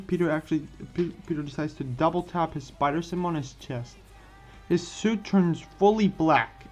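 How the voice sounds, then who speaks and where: Man, higher-pitched voice, story narrator, stumbling read, dull lo-fi audio. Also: a little mellow. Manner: stumbles and repeats words while reading. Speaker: host and narrator of a what-if story series. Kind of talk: scripted narration for an online video. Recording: muffled lo-fi voice over background music. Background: music.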